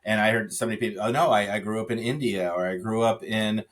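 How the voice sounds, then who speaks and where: A man speaking as a podcast guest, telling stories in an animated way with frequent restarts and "you know" fillers. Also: on the low side, fast talker. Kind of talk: conversational interview.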